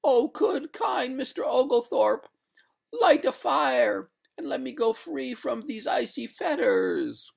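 A narrator voicing a genteel, haughty female water ghost in a storybook, pleading in drawn-out, breathy, melodramatic wails. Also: sits high, sing-song, pitched above the performer's own voice, full character voice. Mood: desperate pleading.

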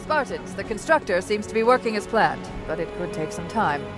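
Lady as confident army scientist, game cutscene, brisk instructions, mid pitch, music behind. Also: expressive, lightly acted. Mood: brisk, focused. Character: brisk, self-assured female military scientist. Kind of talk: video game voice acting.

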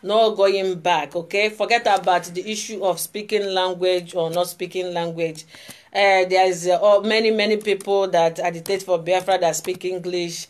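A woman's voice: lowish voice, fairly even intonation, normal speed. A woman in her forties with a Nigerian Igbo accent, talking straight to her phone camera in a casual vlog, emphatic and repeating her points. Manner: emphatic, repeats phrases, gestures while talking. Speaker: vlogger. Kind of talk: casual political vlog monologue. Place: indoor room before hung flags. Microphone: phone camera close up.

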